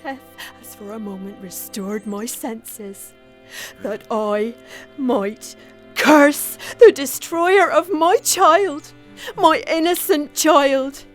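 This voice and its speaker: Anguished mad mother in old melodrama, high voice, really drawn out, cursing type. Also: faint music behind. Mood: bitter cursing. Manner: slow, declamatory, anguished. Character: grief-mad mother cursing her daughter's murderer. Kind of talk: Victorian melodrama audio acting.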